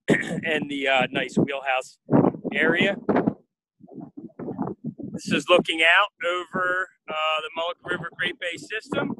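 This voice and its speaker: A man in his forties guiding a live walking tour of a research boat and dock, talking casually with many pauses and fillers, filmed on a handheld phone. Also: a little high, sharp tone, no echo, rough recording. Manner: casual, hesitant with frequent uh fillers. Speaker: marine field station staff guide. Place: aboard a workboat and on a dock outdoors. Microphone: phone camera held at arm's length.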